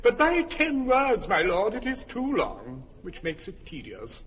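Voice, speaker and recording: A fussy courtier in classical stage comedy, high-voiced and swooping. He is mannered and sardonic, leaning hard on words. The recording is slightly muffled, in a reverberant hall. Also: wildly swooping pitch, measured pace, a bit bright, heavily acted. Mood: disdainful. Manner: mannered, emphatic, sardonic declamation. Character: fussy, disdainful courtly master of revels. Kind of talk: classical stage verse acting.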